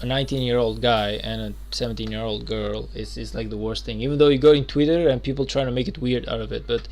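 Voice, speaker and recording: A man's voice: medium pitch, steady tone, fast talker, a little mellow. A man in his twenties chatting loosely while streaming a game, rambling with lots of fillers and restarts. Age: 20s. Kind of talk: casual live-stream chat.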